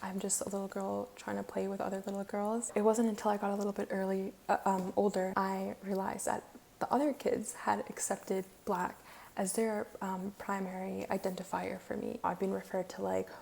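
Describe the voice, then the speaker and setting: A woman's voice: medium pitch, level tone, normal speed, gravelly, a little mellow. A young woman with a Canadian accent giving an informal personal testimony as a community project participant.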